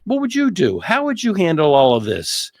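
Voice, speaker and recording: A man's voice: fairly high pitch, wildly swooping pitch, normal speed, no echo, studio quality. A man hosting a legal commentary show, talking conversationally, often repeating phrases and posing rhetorical questions.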